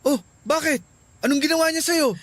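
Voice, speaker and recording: An actor with a Filipino accent playing a puzzled, concerned young boyfriend in a radio drama, full of soft-hearted questions. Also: male voice, extremely high pitch, a little fast, no echo. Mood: probing concern.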